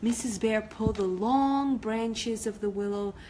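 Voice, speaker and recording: A woman's voice: a little high, measured pace, dark tone, slight background noise. A woman storyteller reading a picture-book story aloud for children.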